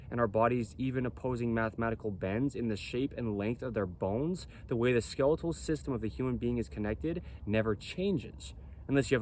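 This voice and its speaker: A man with a boomy, projecting voice, a clothing company founder explaining in an emphatic monologue that keeps checking in with "right?" and "okay?". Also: a little mellow, a little hiss or hum. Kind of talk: self-recorded explainer monologue.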